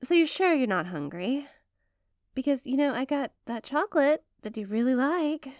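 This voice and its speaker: A woman's voice: high voice, animated, moderate pace, darkish tone, dry recording, studio quality. A woman playing a rich, possessive, doting girlfriend in an intimate ASMR roleplay, sweet-talking one listener in a coaxing way.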